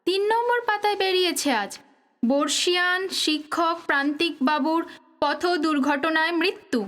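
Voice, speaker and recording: This caring wife has a strikingly high voice. She is frank and familiar, gently chiding, in the style of a domestic audio drama. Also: moderate pace. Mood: chatty, teasing.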